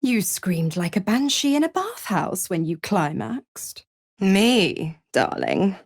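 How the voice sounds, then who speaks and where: Seductive she-devil for a game, smug and cruel, slow drawl, super swoopy delivery. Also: medium pitch, huge pitch swings, slightly rough, no room sound, studio quality, exaggerated voice. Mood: taunting, condescending. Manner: theatrical, drawling, teasing and condescending. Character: seductive, mocking, scheming female devil, smugly cruel patron. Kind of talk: video game character acting.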